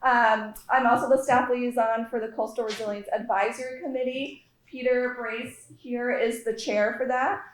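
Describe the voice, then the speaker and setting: A woman's voice: a little high, fairly even intonation, normal speed, few pauses. Woman town staffer in a roomy hall. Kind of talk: forum presentation. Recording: roomy echo.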